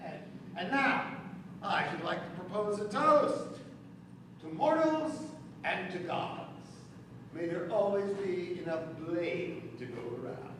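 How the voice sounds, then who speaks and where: Stage comedy: male actor as haughty, scornful deity, animated delivery, distant noisy recording in a reverberant theater. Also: high-pitched, takes it slowly. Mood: grandiose toast. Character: sardonic, pompous male god of mockery and criticism. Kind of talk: community theater stage acting. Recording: echoey stage from far off, noisy.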